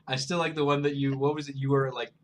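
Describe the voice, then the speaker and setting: A man's voice: medium pitch, flat delivery. A man bantering in an amused, jokey way as a podcast co-host. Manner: jokey, amused, quick to laugh. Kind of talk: casual chat, bantering. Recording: faint noise, captured podcast audio.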